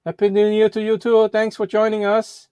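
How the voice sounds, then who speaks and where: A man whose first language is German, hosting a casual livestream chat with halting, animated talk. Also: high-pitched, clean tone, no room sound. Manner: halting, restarts and fillers, animated. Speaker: vlog host. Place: Edmonton, Canada.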